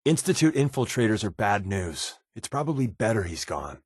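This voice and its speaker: An actor playing a sardonic, wisecracking undercover resistance agent in a video game, with a casual, slangy, quipping delivery. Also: male voice, lowish voice, sing-song, moderate pace, breathy edge, no echo, clean studio sound. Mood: determined, wry. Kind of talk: video game character voice lines.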